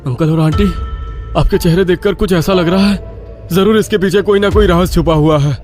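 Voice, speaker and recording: A man's voice: high voice, moderate pace, a little mellow, soft background music, pitched above the performer's own voice, lightly acted. A man voicing an alarmed young man, wounded and urgently warning his elders in a horror cartoon story. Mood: earnest, insistent.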